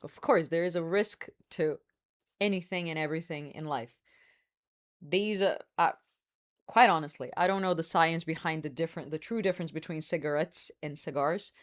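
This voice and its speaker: Chatty woman, lowish voice. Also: moderate pace. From Brazil. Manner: chatty and candid, hedging with fillers, laughs often; smoking a cigar while talking. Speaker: livestream host. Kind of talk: casual live-stream chat.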